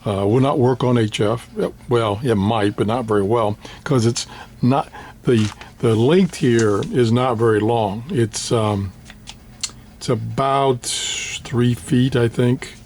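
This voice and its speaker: A man's voice: mid-range voice, takes it slowly, a little mellow, a little hiss or hum. Older man rambling about radio gear. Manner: rambling, hesitant, many fillers and restarts. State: slightly hoarse, clearing throat. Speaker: ham radio hobbyist vlogger. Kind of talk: casual show-and-tell.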